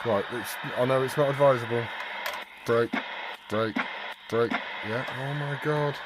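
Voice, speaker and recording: A man's voice: mid-range voice, fairly even intonation, unhurried, noisy background. A man giving a casual, sweary hands-on repair vlog, muttering to himself as he works. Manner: informal, sweary, muttering to himself while working. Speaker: hobbyist video host.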